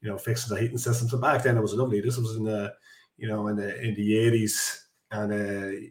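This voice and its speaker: Irish guy in his forties chatting on a podcast, low monotone voice, lots of ums, roomy echo. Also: a little fast. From Ireland. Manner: chatty, rambling, with many fillers and restarts. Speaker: guest, construction business director. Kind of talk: casual podcast interview. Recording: echoey room.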